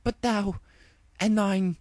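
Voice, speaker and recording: A man's voice: high voice, steady tone, slow pace, darkish tone, dry recording, voice pushed up. A man voicing a royal female pony princess with archaic, theatrical, haughty speech in a comic story reading. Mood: bewildered.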